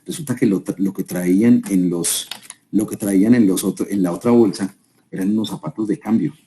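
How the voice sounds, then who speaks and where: A man's voice: on the low side, brisk, dark tone, a little hiss or hum. A man from Colombia with a Colombian accent, giving a conversational talk to a live audience and asking them rhetorical questions. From Colombia, Quindío. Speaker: speaker at a business seminar.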